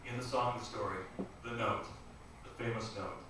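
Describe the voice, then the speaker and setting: A man hosting a live radio interview, putting halting questions to his guest. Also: mid-range voice, steady tone. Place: music store live event, indoors. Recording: echoey room, distant mic, noisy ambience.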